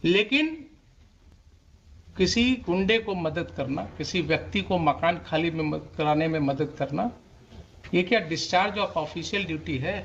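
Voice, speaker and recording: A man's voice: a little high, measured pace, a bit bright. A man with an Indian accent speaking as a judge in court, stern and admonishing, asking pointed rhetorical questions and mixing Hindi with English. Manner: switches between Hindi and English. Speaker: judge presiding over a court hearing. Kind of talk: courtroom questioning and oral directions. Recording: muffled courtroom audio, faint noise, clicks.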